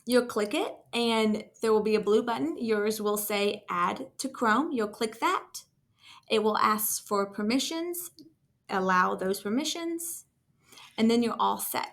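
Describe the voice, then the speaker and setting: A woman talking through a casual screen-share software tutorial as a trainer, in an informal, enthusiastic and encouraging way. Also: a little high, measured pace. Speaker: school district technology trainer.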